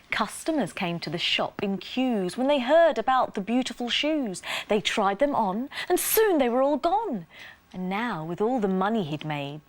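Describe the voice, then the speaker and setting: A woman presenting a scripted children's bedtime story in an expressive, sing-song rhyming delivery. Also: on the high side.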